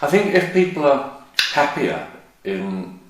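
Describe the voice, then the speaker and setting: An older man hosting a podcast, relaxed and rambling as he chats with a guest. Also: medium pitch, measured pace, heavy reverb, recorded from a distance, background noise. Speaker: podcast host. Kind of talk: casual interview chat.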